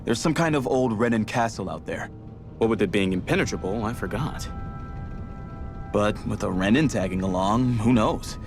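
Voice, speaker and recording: A man's voice: on the low side, animated, moderate pace. A man playing a plain-spoken, wry resistance comrade in a video game cutscene, with a folksy manner. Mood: wry, advising. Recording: music behind the voice. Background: game soundtrack music.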